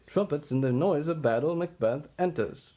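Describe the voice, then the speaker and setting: A man's voice: a little high, lively intonation, moderate pace, dark tone. A man reading a play aloud with its stage directions, in a theatrical delivery. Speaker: performer reading all parts of a play.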